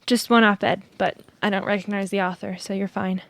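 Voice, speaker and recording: Woman acting a dry, sharp-witted daughter, close-sounding. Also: medium pitch, fairly even intonation, normal speed, vocal fry, no echo, close to an everyday voice. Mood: casual. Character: politician's dry, sharp-witted grown daughter and exacting editor. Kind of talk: naturalistic audio drama acting.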